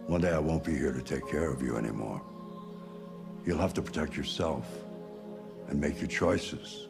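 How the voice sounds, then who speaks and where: An actor playing a frail, wise, fatherly old painter in a video game, gravelly and reflective, gently commanding. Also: very deep voice, exaggerated voice. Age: older. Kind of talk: naturalistic video game acting. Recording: music under the voice.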